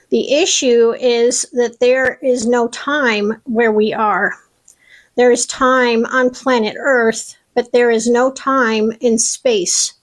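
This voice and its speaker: A woman reading a channeled message in a soft voice that drifts into whispering. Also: on the high side, poor audio. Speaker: self-styled channeler.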